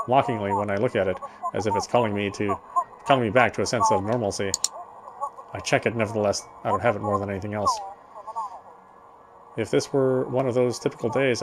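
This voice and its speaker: A man reading a visual novel's text aloud as dramatic narration, solemn and a little halting. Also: mid-range voice, very noisy. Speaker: game player reading story text aloud.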